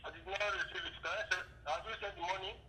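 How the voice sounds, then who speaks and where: A man's voice: sits high, steady tone, fast talker, bright, forceful tone. A man on a phone call heard through a speakerphone, speaking insistently and repetitively like someone pressing a caller. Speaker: phone scammer. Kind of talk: phone conversation, pressing for money. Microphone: phone call played on speakerphone. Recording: harsh speakerphone with faint noise.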